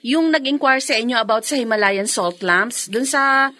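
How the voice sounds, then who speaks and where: An actress playing an eager, chatty adult daughter in a Filipino radio drama, speaking emphatically and conversationally. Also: female voice, a little high, normal speed, pushed and bright. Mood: curious, businesslike.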